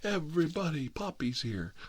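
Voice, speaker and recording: A man voicing an eager, fawning port worker who greets tourists in an excited, coaxing way. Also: on the high side, normal speed, slightly rough, voice pushed up. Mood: delighted.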